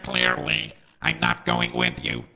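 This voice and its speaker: Croaking, bitter broken android. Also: male voice, a bass voice, huge pitch swings, slow pace, bright, forceful tone, faint music behind, full character voice. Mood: weary, defiant. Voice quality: raspy, croaking. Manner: bitter, sarcastic, insulting. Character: dying, sardonic male android doomsayer, split in half. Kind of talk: scripted audio comedy acting.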